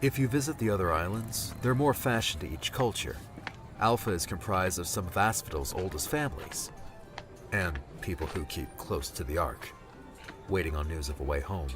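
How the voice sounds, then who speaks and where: Man voicing a dry, animated low-voiced male guide. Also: moderate pace. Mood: explaining. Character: brisk, matter-of-fact man guide, dryly sarcastic. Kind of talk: audiobook character dialogue. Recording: music behind the voice.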